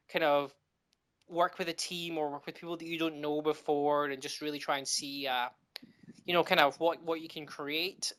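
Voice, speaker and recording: A middle-aged man from Scotland with a Scottish accent, answering interview questions with frequent pauses, fillers and restarts. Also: a little high, fairly bright tone, smooth. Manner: hesitant, many ums and restarts. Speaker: game developer guest.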